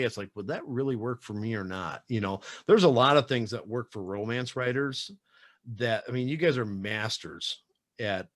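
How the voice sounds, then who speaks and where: A man's voice: medium pitch, dry recording, clean studio sound. Easygoing man host on a video call. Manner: easygoing, reflective, with fillers. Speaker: podcast host. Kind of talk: casual video-call interview. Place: home room with bookshelves. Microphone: webcam on a video call.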